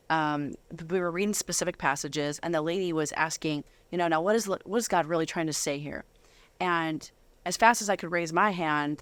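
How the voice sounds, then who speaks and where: A woman's voice: low voice, a bit bright, dry recording. A middle-aged woman hosting a conversational faith interview in an effusive, affirming manner. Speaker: interview host.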